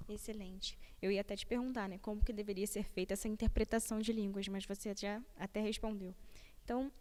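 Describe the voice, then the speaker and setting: A woman's voice: medium pitch, fairly even intonation, fast talker, a little mellow. A Brazilian woman interviewing, putting short, direct questions. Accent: Brazilian Portuguese. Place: church hall. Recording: long hall reverb.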